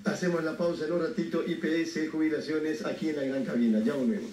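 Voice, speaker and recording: A man's voice: a little high, fairly even intonation, quick pace, a little mellow. A man hosting a live radio show, with cheerful, laughing banter. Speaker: radio host. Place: radio studio. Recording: distant, dull, echoey studio sound.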